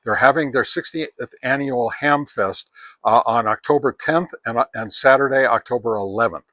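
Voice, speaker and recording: An American man hosting a casual hands-on gear review, talking in a halting, plain-spoken, folksy way. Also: mid-range voice, pushed and bright, dry recording. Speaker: host of a ham radio gear review channel.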